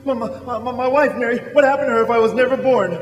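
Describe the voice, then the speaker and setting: An actor playing an excitable small-town family man in a radio drama, stammering and repeating himself with great feeling. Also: extremely high pitch, fast, lo-fi sound. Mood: anxious, pleading. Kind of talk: amateur stage-style radio drama acting. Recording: echoey hall, music behind.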